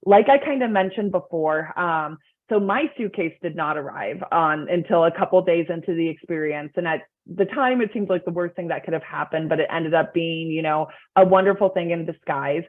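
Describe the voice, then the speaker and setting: A woman's voice: lowish voice, normal speed. A young woman student panelist giving casual, chatty advice on a video call, with lots of filler words. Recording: tinny phone-like video call audio.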